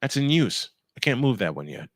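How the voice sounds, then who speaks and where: A man's voice: mid-range voice, sing-song, brisk, fairly bright tone, dry recording, clean studio sound. A man doing live baseball play-by-play on a stream, mixing in casual chat and rambling as he thinks aloud.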